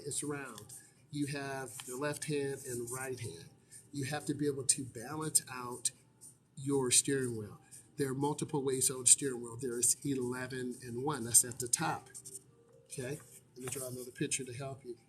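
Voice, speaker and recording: A man's voice: medium pitch, fairly even intonation, moderate pace, background noise. Chatty man answering questions live. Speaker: driving instructor. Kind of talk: casual live-stream Q&A.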